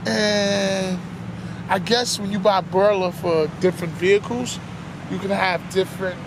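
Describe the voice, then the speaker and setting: A high-voiced young man chats excitedly with car owners at an outdoor meet. His voice sits high for a man, and loud wind and engine noise roll under everything he says. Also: measured pace. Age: young adult. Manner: upbeat, chatty, asks lots of questions. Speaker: car vlogger. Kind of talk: casual chat at a car meet.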